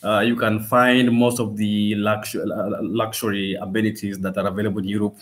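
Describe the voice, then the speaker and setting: Low-voiced Kenyan man explaining haltingly. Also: little pitch movement. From Western Kenya. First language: Swahili and Luo. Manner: halting, repeats words, frequent pauses. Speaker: language and culture guide, presenter. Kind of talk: informal explanatory talk to camera for tourists.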